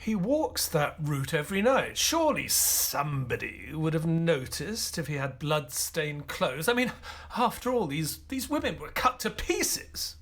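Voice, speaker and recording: Slow, sombre Victorian doctor. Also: male voice, a little high, very animated, vocal fry, fairly bright tone, exaggerated voice. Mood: questioning. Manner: grave, measured, dramatic storytelling. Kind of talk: audio play narration and dialogue. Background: background music.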